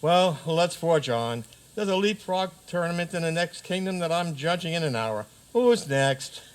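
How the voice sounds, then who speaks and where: A man acts out an impatient king who used to be a frog. His voice is fairly high and very animated. He rules in a pompous, brisk manner, as in a comic fairy-tale radio play. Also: smooth, natural voice. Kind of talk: comic community radio theater.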